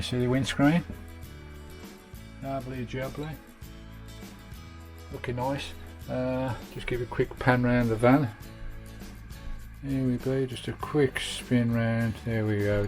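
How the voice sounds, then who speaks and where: British male vanlife vlogger, mid-pitched, casual and chatty, slangy matey delivery, music in the background. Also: fairly even intonation, a little slow, pauses often. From United Kingdom. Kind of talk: casual hands-on video tour and fitting commentary. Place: inside a small campervan.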